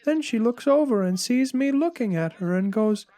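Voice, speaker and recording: A woman's voice: fairly high pitch, expressive, moderate pace, mellow, natural voice. A woman playing a humbled, put-upon oddball blogger, telling stories in a plaintive, conversational dramatic reading of a comic blog.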